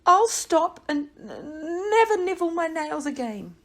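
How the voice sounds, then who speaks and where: A woman's voice: sits high, sing-song, unhurried, pitched above the performer's own voice. Woman doing a stuttering, anxious boy nightingale. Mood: anxious, tearful. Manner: stuttering, whiny, tearful. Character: nervous young male nightingale, anxious worrier.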